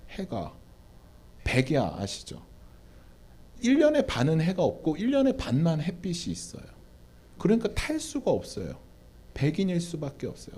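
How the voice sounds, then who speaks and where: Man preaching in a church Q&A, lively swinging pitch, asks and answers his own questions, warm dark tone. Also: husky. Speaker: pastor.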